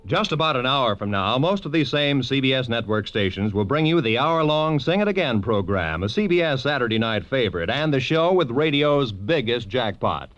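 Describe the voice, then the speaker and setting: A man's voice: medium pitch, big pitch swings, normal speed, hardly any pauses, a bit bright. An American man announcing for network radio in a polished, emphatic 1940s promotional style. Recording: dull vintage 1940s radio broadcast.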